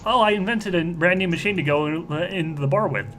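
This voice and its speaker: A man with a slightly high-pitched voice chats as host of a tabletop roleplaying session. He rambles and stumbles over his words in a jokey manner. There is faint background noise. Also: a little fast, a bit bright. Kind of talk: casual tabletop game chat.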